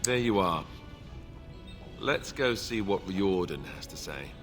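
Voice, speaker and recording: Young male warden, earnest, lowish voice, faint music underneath. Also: expressive, slow pace, heavily acted. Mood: casual. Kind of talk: scripted video game dialogue.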